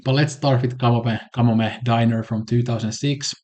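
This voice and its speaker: A man's voice: mid-range voice, normal speed. A man from Finland with a Finnish accent, casually reviewing films in a conversational way. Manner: relaxed, conversational, with fillers like "kinda" and "like". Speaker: film reviewer.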